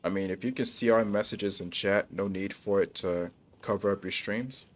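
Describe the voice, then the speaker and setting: A man's voice: on the low side, steady tone, moderate pace, a little hiss or hum. A young man talking casually to his viewers while livestreaming a video game. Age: young adult.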